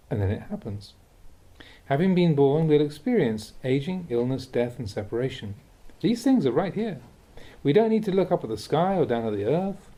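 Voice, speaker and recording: A man reading a Buddhist teaching aloud as instructive narration, with measured, deliberate phrasing. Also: mid-range voice, dark tone. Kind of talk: read-aloud dharma talk, instructive narration.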